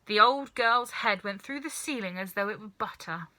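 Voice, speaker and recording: A woman narrating a children's story as a reader, in an animated storytelling style. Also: mid-range voice, moderate pace.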